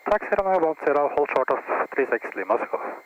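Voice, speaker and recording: A man flying a small plane as a private pilot, giving crisp procedural readbacks and cockpit callouts through an aviation headset. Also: fairly high pitch, lively intonation, quick pace, highs cut off, background noise. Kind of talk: radio readbacks and cockpit narration.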